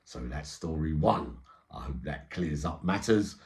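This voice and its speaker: A man's voice: rumbling low, expressive, a little slow, darkish tone. A middle-aged man telling an eyewitness story informally, in streetwise slang with frequent check-in tags. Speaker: storyteller and channel host.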